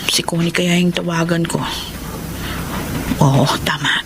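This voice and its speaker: A worried, suspicious radio-drama wife. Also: female voice, on the low side, fairly even intonation, background noise. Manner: murmured worried asides to herself, halting replies. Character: anxious, suspicious working wife named Tina. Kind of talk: Tagalog radio drama acting.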